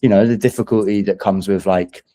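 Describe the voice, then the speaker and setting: A man's voice: on the low side, fairly even intonation, brisk, dark tone. A man who is a singer-songwriter guest in a casual interview, speaking in a hesitant, thoughtful, self-deprecating way.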